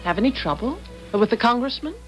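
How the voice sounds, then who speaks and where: Poised, lively-voiced genteel wife. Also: female voice, fairly high pitch, brisk, faint music behind. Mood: poised, probing. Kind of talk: 1970s radio drama acting.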